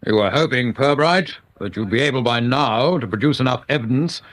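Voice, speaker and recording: A man's voice: medium pitch, fairly quick, a bit bright, close to an everyday voice. A man playing a stuffy, evasive English chief constable in a radio drama, speaking formally and pompously. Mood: grave, awkward. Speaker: actor playing a senior police officer.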